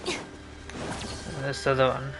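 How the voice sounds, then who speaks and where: Slow, gravelly man commentating a game. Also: medium pitch, fairly even intonation, rough recording. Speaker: video game streamer. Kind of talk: casual gameplay commentary, thinking aloud. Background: game music.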